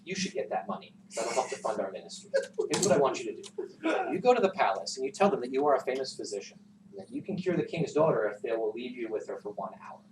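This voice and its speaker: A man voicing a calm, knowing, gently teasing holy teacher in a folktale, casually giving instructions. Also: mid-range voice, quick pace, natural voice. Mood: instructing. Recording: roomy, distant classroom recording with noise.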